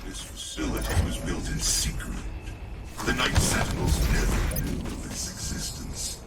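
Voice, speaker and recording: A man playing a solemn scientist in a dramatic game cutscene, gravely revealing dark ancient history in measured phrases with weighty pauses. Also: close to an everyday voice. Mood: grave, ominous. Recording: distant voice under loud game music.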